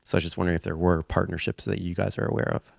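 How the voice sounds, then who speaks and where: A man in the audience with a very deep, gravelly voice asks a polite, earnest question. The sound is dry and close on a handheld mic, and his voice rumbles with fry. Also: level tone, racing, no echo. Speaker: audience member asking a question. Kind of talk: question from the floor.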